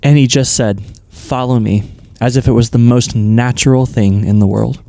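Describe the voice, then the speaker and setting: A man's voice: mid-range voice, fairly even intonation, moderate pace, creaky, dark tone, dry recording, natural voice. A man playing a once hot-headed, now humbled fisherman disciple in an audio drama, reflective and earnest.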